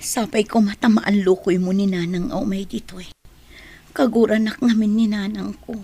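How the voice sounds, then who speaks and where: A woman's voice: medium pitch, fairly even intonation, normal speed, dark tone, husky. A woman playing a troubled young daughter in an Ilocano radio drama, with an Ilocano accent. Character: worried young daughter, resentful then repentant.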